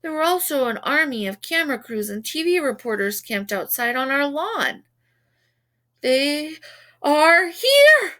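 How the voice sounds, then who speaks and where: A woman reading a children's book aloud with expressive narration. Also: high voice, normal speed, few pauses. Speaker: read-aloud reader.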